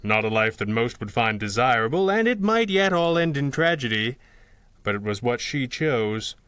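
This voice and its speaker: Guy acting as a calm philosophical masked hero, old radio serial style, crisp bright voice, very measured. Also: mid-range voice, no room sound. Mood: reflective, gentle. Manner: measured, articulate, earnest. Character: calm, philosophical masked male crime fighter. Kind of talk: 1930s radio adventure acting.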